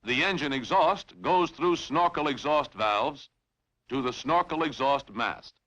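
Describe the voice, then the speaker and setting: A man reading scripted instructional narration in a formal, measured, clearly enunciated way, as in a training film. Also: mid-range voice, sharp tone, muffled recording.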